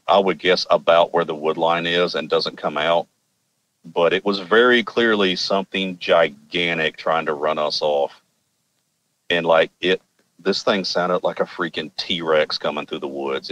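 A man's voice: sits low, a bit bright, low-quality recording. A man from Arkansas with an American accent, casually telling a personal story in an informal, rambling, wry way. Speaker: podcast guest telling personal experiences.

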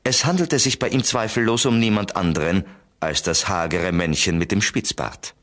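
Deep-voiced male storyteller. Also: moderate pace, a bit bright. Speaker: narrator. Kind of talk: scripted children's story narration.

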